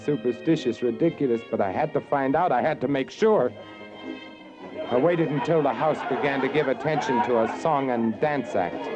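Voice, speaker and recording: Brief: male actor for jaded columnist in vintage radio noir, medium-pitched, wry and brooding, muffled broadcast over music. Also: close to an everyday voice. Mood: uneasy, intent. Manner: wry, brooding, literary delivery. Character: cynical, world-weary male newspaper columnist telling his story. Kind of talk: 1940s radio noir narration.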